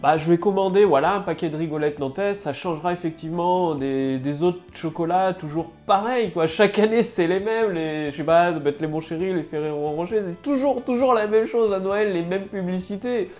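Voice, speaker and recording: A woman's voice: on the low side, a little fast, hardly any pauses, darkish tone, soft background music. A woman with a head cold giving a casual marketing tutorial to camera, loose and full of fillers and restarts. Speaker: online marketing coach.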